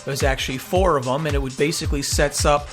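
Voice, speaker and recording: Male radio host over clear music. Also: fairly high pitch, steady tone, fast. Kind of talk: casual radio show introduction.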